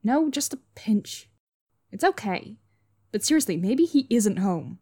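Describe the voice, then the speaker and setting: A woman's voice: on the high side, very animated, a little slow, mellow, slightly rough, exaggerated voice. A woman playing an earnest, literal-minded young student in an audio drama, speaking in a matter-of-fact way. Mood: hesitant. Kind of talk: audio fiction acting.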